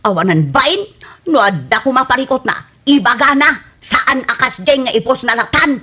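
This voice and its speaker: A woman's voice: sits high, lively intonation, normal speed, pushed and bright, breathy edge, full character voice. A woman playing a touchy, hot-tempered wife who nags and scolds in an Ilocano comedy radio drama, with an Ilocano accent. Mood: indignant. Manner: nagging, indignant.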